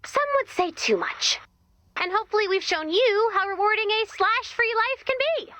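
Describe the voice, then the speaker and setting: An actress playing an exasperated, peppy young team leader in a video game, with a wry, anxious, chatty manner. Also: female voice, very high voice, animated, normal speed, pushed and bright, no room sound, exaggerated voice. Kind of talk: scripted video game voice acting.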